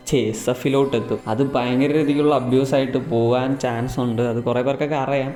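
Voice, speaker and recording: A man narrating an informal gaming tutorial, chatty and explanatory, speaking directly to viewers. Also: medium pitch. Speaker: gaming YouTuber. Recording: roomy echoing voice-over under music. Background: background music.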